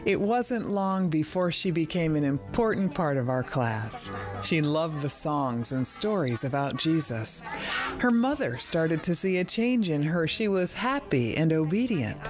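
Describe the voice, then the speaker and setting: A woman with a very low voice gives a gentle, sincere testimony. Her delivery is scripted and even. Music sits clearly behind her. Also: a little slow. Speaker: literacy teacher.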